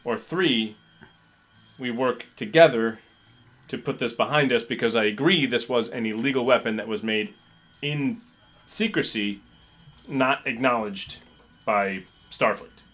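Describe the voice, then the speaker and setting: A man's voice: mid-range voice, unhurried, long pauses, a bit bright. A man playing in a tabletop roleplaying game, talking casually and sometimes speaking as his character.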